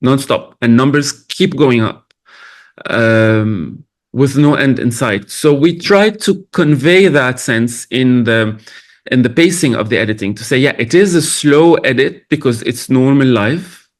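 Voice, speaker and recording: A man from Lebanon, a film director, answering questions at a festival Q&A in a thoughtful, halting way with many restarts. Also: mid-range voice, expressive.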